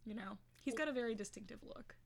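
A woman's voice: on the high side, quick pace, vocal fry. A young woman co-hosting a casual podcast, bubbly and joking, often chiming in. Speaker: podcast co-host. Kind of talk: casual chat.

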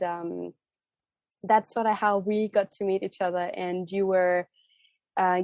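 A woman's voice: mid-range voice, dry recording. A woman in her thirties from Denmark with a light Danish accent, hosting a podcast and chatting casually with lots of fillers. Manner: chatty, warm filler-laden storytelling. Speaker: podcast host. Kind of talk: podcast intro and casual interview talk.

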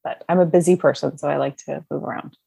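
A woman's voice: sits low, steady tone, fast, creaky, warm tone, slightly rough, no echo. A woman, a comic book creator, chatting as a guest on a podcast in an easygoing way and laughing along. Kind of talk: casual interview chat.

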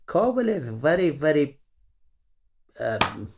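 A middle-aged man with an Afghan accent, a native Pashto speaker, answering interview questions in halting English with many pauses and fillers. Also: on the high side, sing-song, gravelly, noisy background. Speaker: interviewee, former journalist. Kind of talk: oral history interview.

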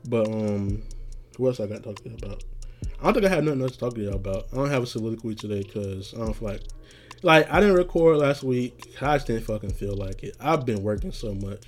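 A young man hosting a casual solo podcast, rambling in a laid-back, slangy way with many fillers, his voice going raspy. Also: lowish voice, lively intonation, quick pace, slight background noise. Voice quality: getting raspy. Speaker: podcast host.

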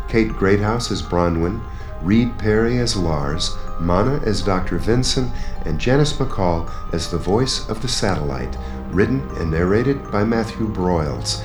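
A man announcing the closing credits of a radio play over guitar music. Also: on the low side. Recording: roomy, echoing sound.

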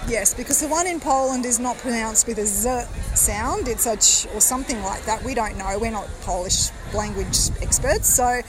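A woman's voice: on the high side, moderate pace, hardly any pauses, over music, low-quality recording. An upbeat woman hosting a podcast, reporting and interviewing on location at an outdoor event. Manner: upbeat, chatty. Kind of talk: roving field report and interview. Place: outdoors at a crowded park event. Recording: crowd chatter behind.

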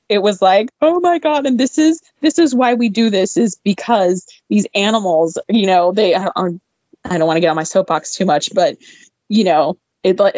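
A woman guest telling an animated story about her veterinary internship, laughing often and breaking into exclaimed asides, her voice a little worn. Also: medium pitch, dry recording. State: losing her voice. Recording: dull, dry lo-fi audio.

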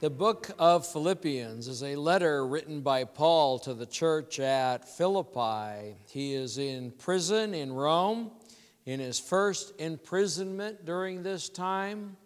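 A congested man preaches a sermon, his voice slightly high for a man and unhurried. He explains scripture patiently, word by word, and the church hall around him rings with a long reverb. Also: soft background music. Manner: measured teaching, explains words slowly. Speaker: pastor. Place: church sanctuary.

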